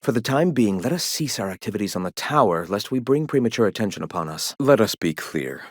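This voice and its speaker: An actor playing a pompous, serene cult leader in a video game, speaking in a lofty, theatrical, preacherly way with formal diction. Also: male voice, lowish voice, expressive, fairly quick, no echo, studio quality. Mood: grave, measured. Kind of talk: video game character dialogue acting.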